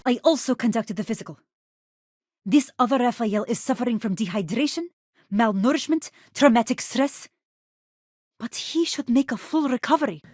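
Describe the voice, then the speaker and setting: A woman's voice: on the high side, normal speed, a little mellow, dry recording, studio quality, close to an everyday voice. A woman playing a curt, irritable doctor on a space station in a video game, brusque and sardonic in manner. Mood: curt, annoyed.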